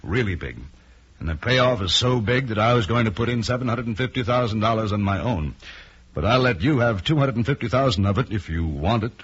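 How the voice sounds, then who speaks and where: An actor playing a smooth-talking, scheming businessman in an old-time radio drama, with measured, self-assured delivery. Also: fairly low pitch, lively intonation. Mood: confident, persuasive. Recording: dull vintage radio drama recording.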